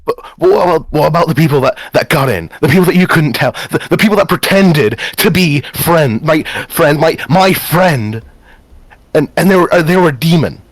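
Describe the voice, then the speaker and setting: A man's voice: fairly high pitch, animated, normal speed, dry recording, low-quality recording. A man playing a bitter, stammering, accusing young man. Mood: agitated, insistent. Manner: stammering, repeats words, sarcastic. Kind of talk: improvised in-character roleplay dialogue.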